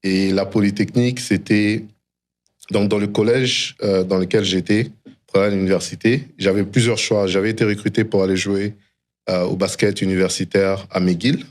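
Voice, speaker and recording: Deep-voiced man telling his story. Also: flat delivery, normal speed, darkish tone. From DR Congo and Canada. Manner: mixes English phrases into French. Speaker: interview guest, tech founder. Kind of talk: reflective personal storytelling in conversation.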